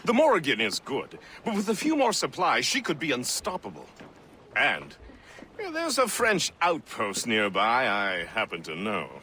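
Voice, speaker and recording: An actor playing a jovial, loyal colonial first mate in a video game, affable and genteel with theatrical phrasing. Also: male voice, mid-range voice, wildly swooping pitch, moderate pace, sharp tone, slight background noise. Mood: jovial, suggesting. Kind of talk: video game cutscene acting.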